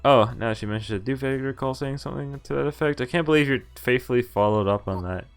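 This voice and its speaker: A man's voice: mid-range voice, quick pace, clean tone, faint music behind. A man giving casual, amused and incredulous live commentary on a visual novel game, reading some of its text aloud. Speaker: game streamer.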